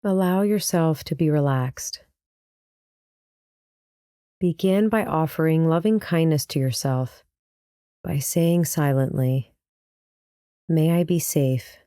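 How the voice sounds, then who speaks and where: A woman leading a guided meditation as a teacher, speaking in a gentle, soothing, unhurried manner. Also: low-pitched, smooth, no room sound, studio quality.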